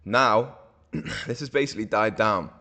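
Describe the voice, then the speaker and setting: A hoarse man, walking viewers through market charts in a casual, rambling way, full of fillers and self-corrections. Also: fairly low pitch, expressive, a little slow, bright, forceful tone. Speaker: crypto market analyst host.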